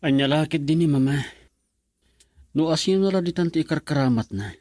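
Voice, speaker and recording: Man acting as a quiet thinker type, unsure, dry close sound. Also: a little high, a little fast, dry recording, natural voice. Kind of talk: radio drama acting.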